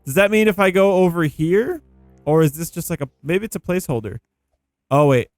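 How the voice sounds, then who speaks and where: Higher-pitched man doing casual gameplay commentary. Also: a little fast, no room sound. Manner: informal, thinking out loud. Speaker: gaming video creator. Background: background music.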